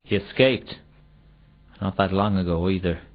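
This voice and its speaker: Low, husky, shifty watchman. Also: steady tone, unhurried. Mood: dim, curious. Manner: hesitant, evasive, whiny excuse-making. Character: shifty, dim, cowardly, petty male city watchman. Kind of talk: comic video game voice acting. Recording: dull, muffled old console game audio.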